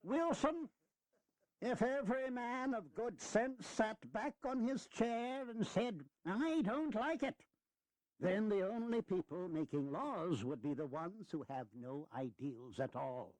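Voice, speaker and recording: A man's voice: high voice, animated, takes it slowly, a little mellow, no echo, poor audio. An actor playing a shrewd, teasing eighty-year-old statesman in a historical audio drama, lecturing in a folksy, wry way. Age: elderly.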